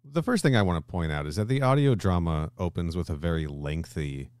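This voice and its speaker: A man with an extremely low voice co-hosts a casual film discussion podcast. He talks informally, swears easily and keeps checking in with questions. The sound is dry, with very little room in it. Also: animated, fairly quick, darkish tone, smooth, no room sound. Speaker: podcast co-host.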